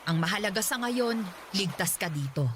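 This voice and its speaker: A woman's voice: medium pitch, animated, normal speed, close to an everyday voice. An actress in a radio drama voicing a protective middle-aged mother with a fierce temper and a scolding manner. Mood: tender. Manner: scolding, sharp outbursts. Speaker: voice actor. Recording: noisy radio drama recording.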